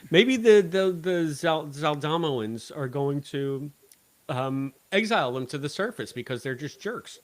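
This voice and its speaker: A man's voice: on the high side, expressive, unhurried. A middle-aged American man co-hosting a podcast in casual, dry, joking conversation. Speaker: podcast co-host. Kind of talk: casual banter about a show.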